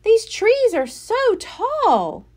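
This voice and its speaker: A woman's voice: very high-pitched, wildly swooping pitch, extremely slow, a little mellow, pitched above the performer's own voice, heavily acted. A woman voicing a friendly, kind and earnest young boy llama in a storybook. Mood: wondering.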